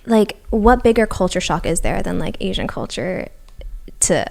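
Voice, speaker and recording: A woman's voice: mid-range voice, animated, moderate pace, creaky, a little mellow. A young woman in her twenties who grew up in Massachusetts and whose first language was Japanese, chatting as a podcast guest, laughing often and saying "like" a lot. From Massachusetts, USA. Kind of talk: casual chat.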